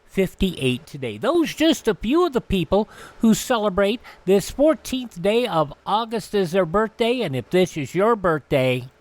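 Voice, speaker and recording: A man's voice: high-pitched, big pitch swings, unhurried. A man hosting a radio show, reading through an almanac in an announcer-like way with casual asides. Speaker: radio host.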